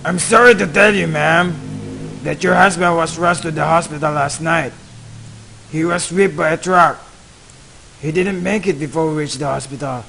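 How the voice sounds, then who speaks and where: A man acts out a stern young police officer breaking terrible news in a radio play. His voice sits a little high, with a gravelly fry and a sharp edge. He speaks formally and gravely, and a soft hiss runs under the recording. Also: steady tone, normal speed, soft background music, rough recording. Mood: solemn. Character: solemn police officer delivering bad news. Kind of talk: student radio drama acting.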